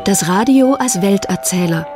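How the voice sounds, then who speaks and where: A woman's voice: mid-range voice, animated, moderate pace, over music. A woman making a scripted title announcement for a radio programme.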